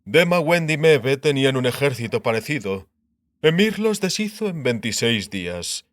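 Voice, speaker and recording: A man performs a shrewd king, sure of himself and mocking. His mid-pitched voice swings expressively through pompous, dry lines, recorded close and without room sound. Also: very animated, normal speed, dry recording, lightly acted. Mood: matter-of-fact. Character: shrewd, mocking, self-assured male king. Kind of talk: dramatised audiobook dialogue.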